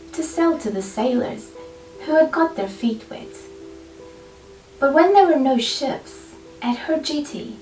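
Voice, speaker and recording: A woman reading a children's picture book aloud expressively, with deliberate, dramatic phrasing and pauses. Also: fairly high pitch, a little mellow. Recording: roomy, distant mic, faint music behind. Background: faint background music.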